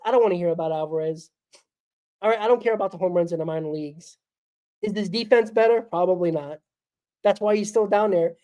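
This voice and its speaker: A woman rants bluntly through a solo postgame fan show, exasperated and dismissive. She is sick, so her voice crackles and she coughs now and then. Her tone is dark and mellow. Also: medium pitch.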